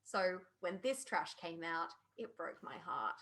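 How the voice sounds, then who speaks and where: Woman chatting on a podcast panel, bright punchy voice, pretty emphatic and opinionated. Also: fairly low pitch, sing-song, a little slow. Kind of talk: casual panel discussion.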